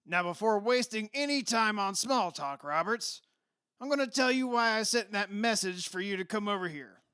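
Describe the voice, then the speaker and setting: A man's voice: sits high, lively intonation, normal speed, sharp tone, clear, resonant tone, no room sound, studio quality. A man acting in a radio play as a brash, blunt, middle-aged rich father who is impatient and demanding. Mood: brash, indignant. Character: brash wealthy father who bought an old mansion.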